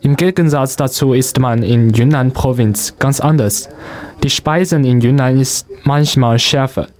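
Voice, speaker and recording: Young Chinese-accented man, halting delivery. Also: mid-range voice, darkish tone. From Guangzhou, southern China. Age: young adult. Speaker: radio show guest. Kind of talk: interview answers about everyday culture.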